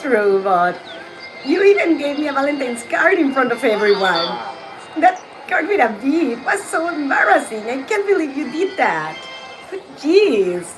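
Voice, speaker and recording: A woman's voice: sits high, sing-song, measured pace, voice pushed up, poor audio. A woman doing a huffy scornful schoolgirl. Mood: indignant. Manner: indignant, huffy and blunt. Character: scornful proud teenage schoolgirl. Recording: game music loud behind.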